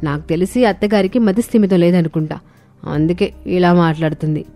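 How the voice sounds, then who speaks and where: A woman's voice: fairly low pitch, fairly even intonation, brisk, mellow, natural voice. A woman voicing a silly, earnest young daughter-in-law in a cartoon family story. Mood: conspiring. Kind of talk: cartoon moral-story dialogue. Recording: music under the voice.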